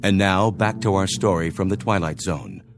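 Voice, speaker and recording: A man's voice: low-pitched, normal speed. A man announcing a radio drama in a scripted presentation style. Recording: loud music with timpani behind.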